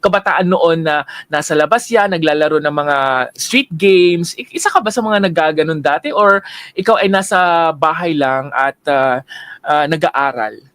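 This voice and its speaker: A male talk show host with a voice pitched fairly high. He asks his questions haltingly and keeps humming along while his guest talks. The recording is dry and close. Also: fairly bright tone, no echo. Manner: hesitant questions, frequent backchannel hums. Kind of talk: casual interview, mixing Tagalog and English.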